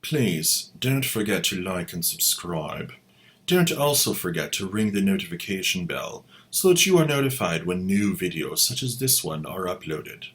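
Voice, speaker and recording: A man's voice: low voice, very animated, moderate pace, fairly bright tone. A man hosting a channel, giving sarcastic commentary with wry, mocking asides. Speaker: host.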